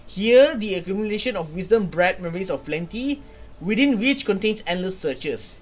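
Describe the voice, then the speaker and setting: A young man streams a game, talking very slowly in a slightly high voice. He thinks aloud in halting phrases, with some noise around him. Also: animated. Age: young adult. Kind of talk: live gameplay commentary with reading aloud. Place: small indoor room.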